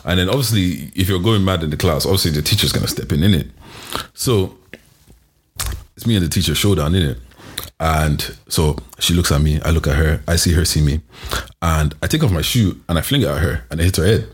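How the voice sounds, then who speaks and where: Deep husky man. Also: a little fast. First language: Yoruba. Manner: animated storyteller, laughs often, London slang. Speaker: podcast co-host. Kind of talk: casual chat, storytelling.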